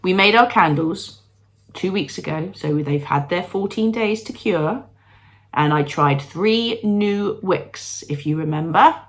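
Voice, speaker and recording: A middle-aged woman, her nose blocked from a cold, chats brightly to her viewers from home. Her voice sits a little low, and she jokes at her own expense as she goes. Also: unhurried. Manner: bubbly, self-deprecating, talks to viewers. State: congested with a cold, sniffly. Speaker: candle-making vlogger. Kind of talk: chatty home vlog. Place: at home, moving between rooms.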